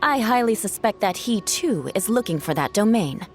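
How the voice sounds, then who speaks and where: A woman's voice: mid-range voice, very animated, moderate pace, soft background music, lightly acted. An actress playing a proud, composed young woman knight with a dry, haughty manner, in a game. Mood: resolute. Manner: poised, dryly haughty. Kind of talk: game voice acting.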